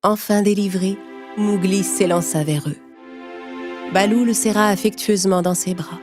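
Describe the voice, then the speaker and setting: A woman's voice: mid-range voice, normal speed. A woman storyteller reading a scripted children's tale in narration style. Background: music.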